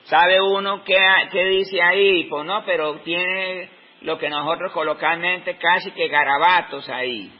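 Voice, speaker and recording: A Colombian man in his fifties, giving an unscripted talk on teaching with slow, reflective pauses in the middle of his sentences. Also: sits high, steady tone. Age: 50s. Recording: dull lo-fi recording.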